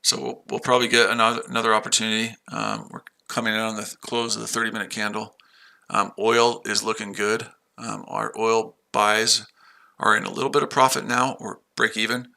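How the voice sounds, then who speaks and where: American guy trading live, super gravelly, sharp bright voice, rambles and chats w viewers. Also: on the low side, level tone, fairly quick. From United States. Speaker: livestream trader. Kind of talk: casual livestream chat.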